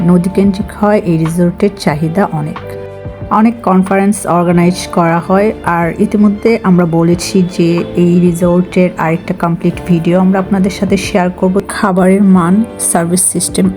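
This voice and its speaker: A woman's voice: on the low side, dark tone. A woman with a Bangladeshi accent giving an informal voice-over for a travel vlog. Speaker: vlog narrator.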